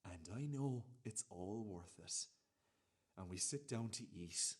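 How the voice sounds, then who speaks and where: Radio drama: male actor as proud, self-justifying amateur cook, raspy-edged, very lively intonation, stop-start emphasis. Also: lowish voice, slow, heavily acted. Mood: exasperated, smug. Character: defensive, fussy, foul-mouthed amateur cook proud of his dinner. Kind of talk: dramatic monologue in a radio play.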